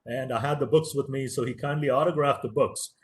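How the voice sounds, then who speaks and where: A man's voice: medium pitch, fairly even intonation, brisk. A man whose first language is Tamil gives an earnest, motivational webinar lecture as a professor, stressing key words and repeating phrases for emphasis.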